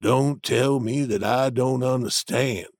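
A man reading an audiobook, voicing a stubborn grown man who argues slowly and insistently from common sense. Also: mid-range voice, fairly even intonation, a little mellow, no room sound, studio quality, pitched below the performer's own voice. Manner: slow, insistent, arguing.

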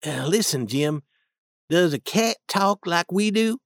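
A man's voice: sits high, lively intonation, takes it slowly, a bit bright, dry recording, studio quality, heavily acted. A man voicing a patient, reasoning young boy. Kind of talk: audiobook reading.

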